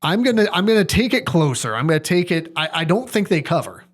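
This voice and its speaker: A man co-hosting a sports podcast, thinking aloud in a casual, measured and somewhat hesitant way. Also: fairly high pitch, very animated.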